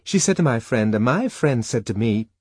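A man's voice: medium pitch, very animated, moderate pace, a little mellow, breathy edge, no room sound, clean studio sound, voice pushed up. A man voicing a gossipy society woman eagerly spreading rumours in an audiobook story.

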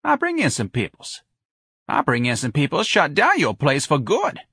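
High, swooping bar porter. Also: male voice, huge pitch swings, pushed and bright, husky, no echo, studio quality, full character voice. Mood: defiant. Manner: drawn-out exclamations, slangy grumbling. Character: sly, put-upon young Southern male bar porter, grumbling and defiant.

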